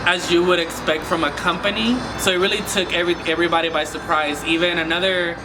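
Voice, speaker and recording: A man's voice: a little high, steady tone, fast talker, far from the mic, over music, low-quality recording. A man from the United States chatting casually as a guest, laughing often and repeating words as he gets going. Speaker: guest, flight attendant trainee. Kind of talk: casual chat.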